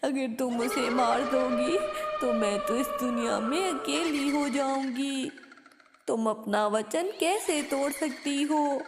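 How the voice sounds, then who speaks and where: Woman as a high-pitched, pleading cartoon mother bird. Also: slow pace. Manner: anxious, pleading, exclamatory. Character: distressed mother bird pleading for her child and flock. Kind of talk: Hindi cartoon story dialogue. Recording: hall reverb, loud music behind. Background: loud music.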